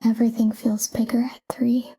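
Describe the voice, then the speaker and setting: A woman guiding a sleep meditation in a calm, hushed, breathy whisper, soothing and soft. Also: a little high, normal speed.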